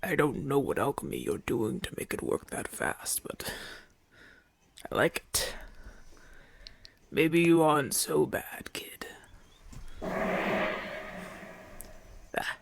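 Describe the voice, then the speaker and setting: A man playing a proud, haughty Roman gladiator in an ASMR audio roleplay, imperious and formal, often dropping to a breathy murmur. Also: fairly high pitch, sing-song, a little slow, pauses often, no room sound, full character voice. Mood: softening, grudging. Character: proud, haughty Roman gladiator champion, gruff but softening.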